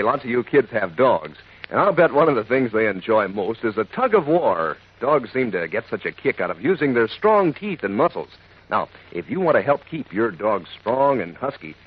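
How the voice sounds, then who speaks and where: A man announcing as a chummy, enthusiastic sponsor pitchman, in folksy 1940s children's radio advertising style. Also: breathy edge. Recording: dull old radio recording.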